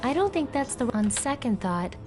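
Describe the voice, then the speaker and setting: A plucky, lively, high-voiced girl. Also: moderate pace. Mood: breezy. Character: plucky teenage baker girl, curious, breezy, stubborn. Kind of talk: video game voice acting. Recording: game music behind the voice. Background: game background music.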